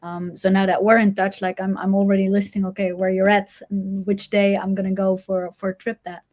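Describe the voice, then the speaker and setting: A woman's voice: mid-range voice, flat delivery, brisk, a little mellow. Dutch woman w/ a mixed-up accent, chatty, lots of uhs and restarts. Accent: mixed Dutch-international. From Netherlands. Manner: many fillers and restarts, cheerful. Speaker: agency CEO guest. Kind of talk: casual interview chat.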